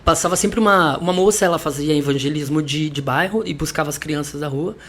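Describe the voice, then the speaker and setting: A man's voice: fairly high pitch, fairly quick, a bit bright, a little hiss or hum. A man from Salvador, a musician and teacher, telling his story as a podcast guest in a chatty, reflective way with light laughs. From Salvador, Brazil. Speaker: musician and vocal coach guest. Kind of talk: podcast interview.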